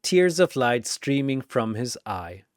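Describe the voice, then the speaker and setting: A narrator reading a dramatic scripted story in measured, suspenseful short phrases. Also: medium pitch, very animated, no echo, clean studio sound.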